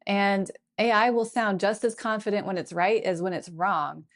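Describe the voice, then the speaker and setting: A woman's voice: mid-range voice, steady tone, moderate pace. A woman guest, a tech consultant, explaining her points thoughtfully in a conversational podcast interview.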